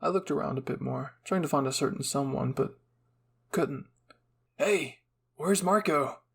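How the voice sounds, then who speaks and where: A man's voice: medium pitch, moderate pace, creaky, breathy edge. A man reading scripted first-person narration as a storyteller.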